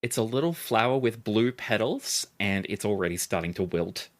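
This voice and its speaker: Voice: mid-range voice, lively intonation, normal speed. A game master chatting casually and narrating a tabletop game.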